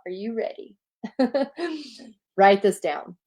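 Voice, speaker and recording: A woman's voice: mid-range voice, lively intonation, extremely slow, darkish tone. A woman with a Southern US accent, a paint party instructor chatting casually as she answers viewer questions in an upbeat, encouraging way. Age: adult, nearly two decades of experience.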